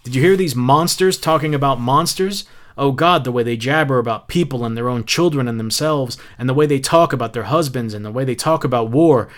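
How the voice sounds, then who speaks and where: A man's voice: mid-range voice, brisk, close to an everyday voice. A man voicing an irate, blunt, rebellious fireman in a storybook reading, blurting and swearing. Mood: outraged. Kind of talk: audiobook character acting.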